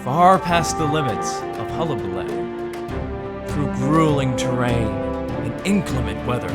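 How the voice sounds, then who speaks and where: A man narrating a whimsical dark tale in rhythmic, theatrical verse for a radio drama. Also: fairly high pitch. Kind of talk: scripted storybook narration in verse. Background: prominent music with guitar.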